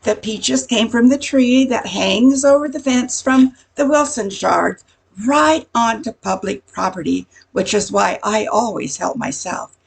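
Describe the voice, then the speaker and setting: Older lady acting as nosy neighbor in a radio sketch, husky and kinda high, confiding gossip. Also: a little slow, exaggerated voice, low-quality recording. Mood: disapproving. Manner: chatty, insinuating, confiding. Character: nosy gossiping busybody woman, neighborhood welcome wagon.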